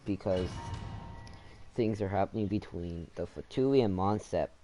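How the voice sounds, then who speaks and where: A man's voice: low-pitched, measured pace, over music. A man giving casual, rambling gameplay commentary as he plays, in an informal way. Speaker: gamer, let's player.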